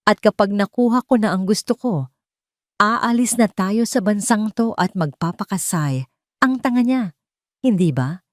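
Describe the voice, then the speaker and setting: Smug young Filipina schemer played by a female narrator, gloating, higher-pitched, audio drama villainess. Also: expressive, a little slow, no room sound, clean studio sound, close to an everyday voice. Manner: smug, boastful.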